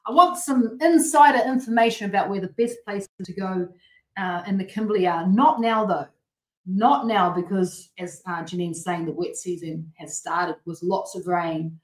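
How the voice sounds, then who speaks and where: A woman's voice: mid-range voice, echoey room, low-quality recording. An Australian woman in her late forties hosting a healthy cooking show, chatting in a bubbly, rambling way with lots of restarts and laughs. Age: late 40s. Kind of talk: chatty live-stream greetings and updates.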